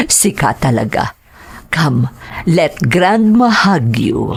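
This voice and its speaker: A woman's voice: fairly low pitch, very animated, drawn-out words, slightly rough, exaggerated voice. A woman acting a loving, emotional old mother and grandmother in an audio drama, tender and drawn out with hesitations.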